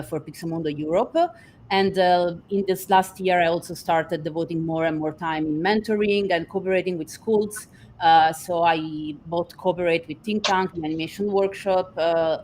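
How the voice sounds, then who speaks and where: A woman from Italy with an Italian accent, a panel guest introducing herself cheerfully with many hesitations over a computer mic on a video call. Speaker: guest, head of texturing. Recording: faint noise with low rumble.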